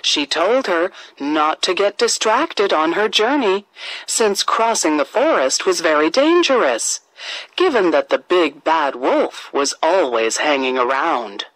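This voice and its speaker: A woman tells a children's fairy tale in a very lively, melodic range. Her pace is slow and deliberate, with expressive phrasing, and the recording is dry and close. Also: fairly low pitch, no room sound, rough recording. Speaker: storyteller.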